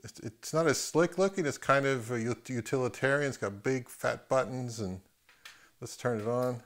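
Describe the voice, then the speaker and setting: A man with a mid-pitched voice hosts a casual gear review. He talks off the cuff, haltingly, often repeating a word as he thinks aloud. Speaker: host of an audio gear video channel. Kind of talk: casual unscripted equipment review commentary.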